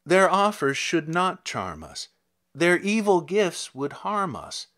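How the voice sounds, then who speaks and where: A man's voice: fairly high pitch, huge pitch swings, slow, a bit bright, pitched above the performer's own voice, heavily acted. A man voicing a cautious, anxious young maiden who pleads urgently in a dramatic poem reading. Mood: anxious pleading.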